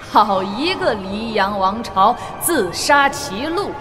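Old empress of a wuxia kingdom: regal, shrewd, commanding; reverberant hall, music underneath. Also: female voice, a little high. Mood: scornful amusement. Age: older. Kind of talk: Chinese audio drama acting. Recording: long reverb, music behind.

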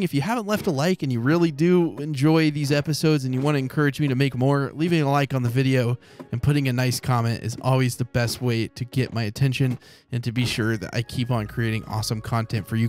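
Rambling, slightly hoarse male game commentator. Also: mid-range voice, darkish tone. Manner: rambling, self-correcting, chatty. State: slightly hoarse from long recording. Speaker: Let's Play creator. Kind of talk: casual gameplay commentary.

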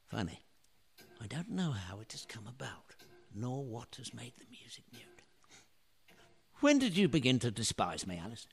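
An actor playing a wry, regretful middle-aged husband, a washed-up painter, in a theatrical radio play style. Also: male voice, medium pitch, wildly swooping pitch, moderate pace, vocal fry, a little hiss or hum. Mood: wistful, regretful. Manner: wistful, theatrical.

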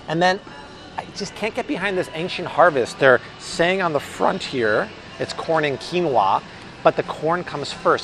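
An American man in his thirties, upbeat and chatty, giving a casual, enthusiastic product review as a vlog host in a supermarket. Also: medium pitch. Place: large supermarket aisle, indoors. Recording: clear store ambience and hum.

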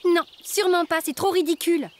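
Very high-pitched bossy cartoon girl. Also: steady tone, brisk, slight background noise. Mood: accusing. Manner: blunt, contrary. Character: bossy, stubborn little schoolgirl in a cartoon.

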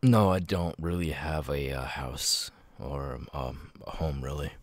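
A man voicing a weary, homeless young ex-convict with tics, halting and stammering in an ASMR audio roleplay. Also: very deep voice, steady tone, dry recording, exaggerated voice. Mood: subdued, grateful. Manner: halting, stammering, with abrupt repeated-word tics. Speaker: voice actor. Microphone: close microphone, dry.

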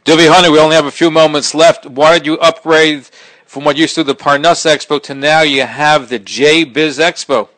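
A bright, fairly high male voice asking brisk interview questions. He talks quickly and to the point. The sound is dry and close. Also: steady tone, no echo, low-quality recording.

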